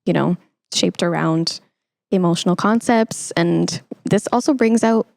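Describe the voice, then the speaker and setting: A woman's voice: lowish voice, measured pace, creaky, a little mellow, dry recording. A young woman hosting a conversational podcast interview, speaking in an effusive, encouraging way. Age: young adult. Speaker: podcast host.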